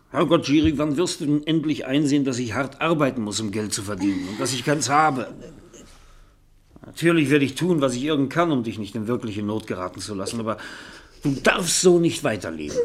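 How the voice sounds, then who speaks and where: An actor playing a harried, hard-drinking writer in a German radio play, world-weary and easily exasperated. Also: male voice, lowish voice, natural voice.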